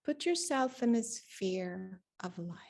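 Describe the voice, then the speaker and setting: Very slow-paced woman leading guided meditation. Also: medium pitch, lively intonation, creaky, a little mellow. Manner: deliberate phrases with long pauses.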